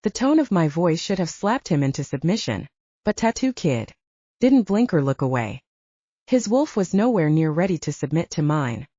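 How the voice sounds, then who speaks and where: A woman reading a first-person story as an audiobook narrator in a scripted, expressive storytelling style. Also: low voice, normal speed, dry recording, highs cut off.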